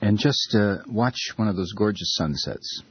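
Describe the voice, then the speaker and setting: A man's voice: on the low side, unhurried, husky, dull audio. A male talk radio host taking calls, joking and sarcastic, who also builds into repeated, heated rants. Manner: sarcastic, mocking, loose and joking. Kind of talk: political call-in radio. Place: radio studio.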